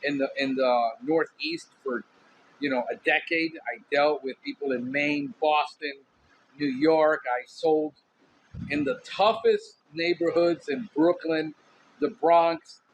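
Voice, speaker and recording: A man from Miami with a Cuban Miami accent, hosting a podcast in a casual monologue, loud, outspoken and candid, smoking a cigar as he talks. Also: fairly high pitch, slow pace, slight background noise, rough recording. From Miami, USA. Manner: smoking a cigar while talking. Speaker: podcast host.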